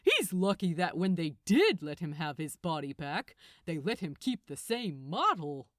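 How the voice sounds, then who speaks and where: A woman's voice: fairly low pitch, big pitch swings, unhurried, no echo, clean studio sound, pitched below the performer's own voice. A woman voicing a smug, gloating male archangel with a condescending, theatrical manner in a fan fiction audiobook. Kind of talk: acted audiobook dialogue.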